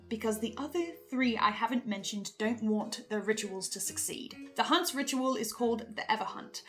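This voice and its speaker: High-voiced woman theorizing over music. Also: fairly bright tone. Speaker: host presenting theories on a horror podcast. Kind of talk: earnest theorizing commentary.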